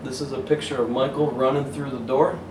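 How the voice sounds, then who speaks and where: A man reading a children's picture book aloud as a coach would, pausing to point out the pictures. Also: medium pitch, level tone. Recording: roomy, distant mic, faint hiss.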